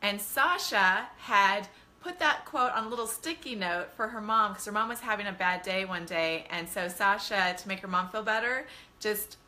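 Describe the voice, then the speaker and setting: A woman with a middling pitch and a clearly bright tone hosts an informal livestream. She talks as a children's author to her online followers, enthusiastic and effusive, in a loose conversational style. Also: moderate pace. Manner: gushing, enthusiastic, greets viewers by name. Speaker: children's author hosting a live bedtime reading. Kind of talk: casual livestream chat with viewers.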